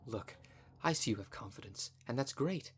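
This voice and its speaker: A man's voice: medium pitch, lively intonation, normal speed, slight background noise, close to an everyday voice. A man voicing a vain, flirtatious, snobbish werecat socialite in a scripted roleplay spoken to the listener, with a pompous, haughty manner. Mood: coaxing. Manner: pompous, haughty, smooth-talking. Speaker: voice actor in audio roleplay.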